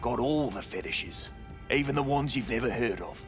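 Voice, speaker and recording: A man's voice: mid-range voice, expressive, moderate pace, soft background music, lightly acted. An actor playing a sleazy, cynical male brothel manager in a cyberpunk video game, talking in crude, dismissive slang. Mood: wry, defensive. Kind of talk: video game dialogue acting.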